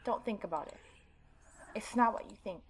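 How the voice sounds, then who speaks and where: A woman let's player narrating a visual novel and adding playful, expressive casual commentary. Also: mid-range voice, normal speed, slight background noise. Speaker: let's player reading and reacting.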